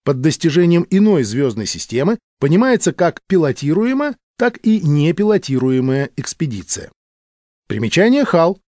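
A man reading a science fiction audiobook in a measured, formal, clearly articulated way. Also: on the high side, big pitch swings, a little mellow, no room sound, clean studio sound. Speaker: audiobook narrator.

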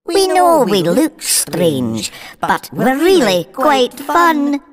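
Echoing, swooping, deceitful goblins. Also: female voice, high voice, sing-song, extremely slow, exaggerated voice. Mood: falsely friendly. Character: pair of twisty, lying goblins calling sweetly. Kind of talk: storybook verse performance. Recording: long echoing reverb effect.

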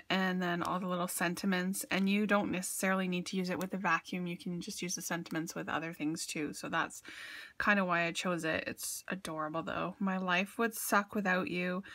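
A woman's voice: lowish voice, steady tone, moderate pace, pushed and bright. A woman with a sniffly, stuffed-up voice, chatting casually and gushing as she shows off craft supplies on camera, with a lot of ums. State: sniffly from allergies. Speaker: craft haul vlogger. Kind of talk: casual show-and-tell.

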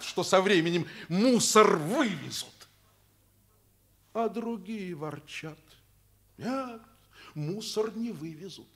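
Fatherly school headmaster, radio play, earnest, deliberate, rather high male pitch, husky. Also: fairly bright tone, full character voice. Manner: deliberate, emphatic, pauses between phrases. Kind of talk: Soviet radio drama acting.

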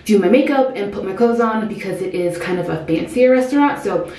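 Woman, 30s, travel vlogger: upbeat, chatty, mid-pitched, talking to camera at arm's length. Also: expressive, fairly quick, mellow. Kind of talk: casual vlog talk to camera. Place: indoors at a tiled restaurant table and a hotel room.

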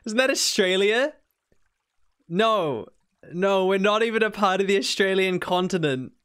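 Young kiwi guy streaming a farming game, highish voice, goofy back-and-forth with chat. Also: unhurried, fairly bright tone, smooth. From New Zealand. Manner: playful, jumps to high squeaky protests when teased.

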